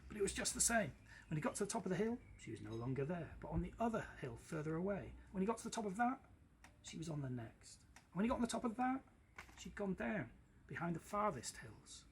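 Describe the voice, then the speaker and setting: A man reading a children's story aloud in an expressive storytelling style. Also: high-pitched, fast. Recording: clearly noisy background.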